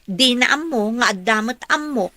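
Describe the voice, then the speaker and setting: An earnest young woman employee speaking politely to her mother in a radio play. Her voice sits fairly high and is recorded dry and close. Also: expressive, normal speed, sharp tone, dry recording. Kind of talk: radio drama acting.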